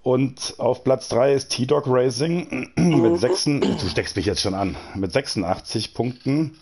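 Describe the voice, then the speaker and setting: A man's voice: mid-range voice, unhurried, a little mellow, slightly rough. A man commentating a live sim racing broadcast, chatty and joking as he reads out standings and greets viewers. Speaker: race commentator.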